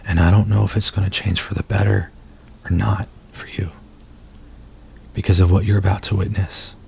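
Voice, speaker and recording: Casting: male actor for an anguished, confessional werewolf in human form, ASMR roleplay, gravelly and narrow in intonation, some background noise. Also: deep voice, little pitch movement, mellow. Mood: brooding, confessional. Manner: intimate, confessional, halting delivery.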